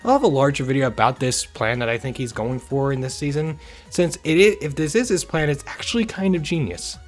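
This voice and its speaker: A man with a mid-pitched voice presents a scripted video essay. He speaks informally and frankly, like an opinionated online host. Music plays underneath his narration. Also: lively intonation, a little fast. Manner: informal, opinionated, occasional swearing. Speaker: host of a video review channel.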